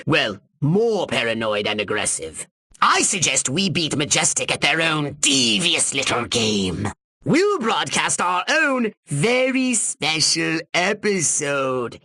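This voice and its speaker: A pompous alien commander from a video game, with a sharp, raspy voice that swoops theatrically. He schemes and scolds with excitable grandeur. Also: sits high, no echo, clean studio sound, heavily acted. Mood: scheming, smug. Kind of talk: video game character voice lines.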